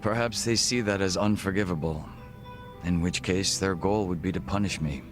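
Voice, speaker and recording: An actor playing a composed, formal gangster second-in-command in a video game cutscene, measured and reserved. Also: male voice, low-pitched, over music, natural voice. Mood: dryly composed.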